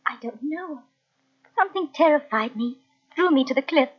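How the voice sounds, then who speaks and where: A woman's voice: high voice, lively intonation, normal speed. An actress playing a fragile, bewildered young woman in an old-time radio mystery, hesitant and plaintive. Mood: frightened, bewildered. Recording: muffled old radio cassette transfer.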